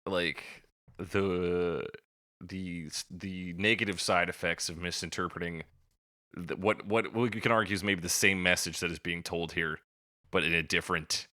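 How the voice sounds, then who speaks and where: A man with a low but bright, forceful voice, recorded dry and close. He talks casually as a podcast co-host, with slang and some swearing, giving opinions and probing with questions. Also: fairly even intonation, moderate pace, no echo.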